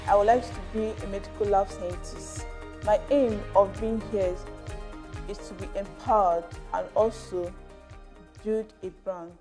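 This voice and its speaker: A woman's voice: mid-range voice, steady tone, a little slow, mellow. A woman in her twenties giving a short, plain self-introduction. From Nigeria. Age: 20s. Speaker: workshop participant. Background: background music.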